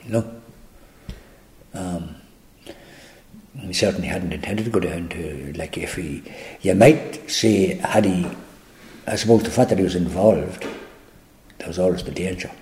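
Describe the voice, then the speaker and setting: An older man with an Irish accent, answering interview questions in a halting, reflective way with many restarts. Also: low-pitched, a little mellow, a little hiss or hum. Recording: echoey room.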